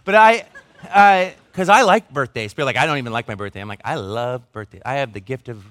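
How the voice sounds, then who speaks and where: A man's voice: medium pitch, expressive, quick pace, fairly bright tone. A man in his forties preaching a conversational sermon as a pastor, earnest and emphatic, with self-deprecating asides. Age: 40s.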